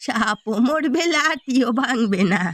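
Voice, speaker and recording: A woman's voice: fairly high pitch, lively intonation, slow pace, a bit bright, no room sound. A woman voicing a scheming, vengeful elderly mother who runs a village eatery in a cartoon, speaking confidently and conspiratorially. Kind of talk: cartoon story voice acting.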